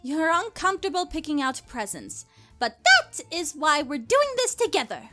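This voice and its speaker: Fussy prim cartoon unicorn big sister, really high n bright, swoops around. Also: female voice, very animated, moderate pace, over music. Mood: bossy, fussy. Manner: dramatic, bossy, prim. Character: fussy, refined older-sister unicorn pony. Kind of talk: cartoon fan fiction audio drama.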